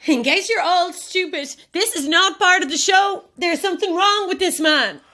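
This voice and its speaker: A woman playing a defiant, alarmed actress in a horror audio drama, speaking in an agitated, halting, snapping way. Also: extremely high pitch, sharp tone. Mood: angry, frightened. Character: defiant, alarmed actress trapped at a sinister castle show.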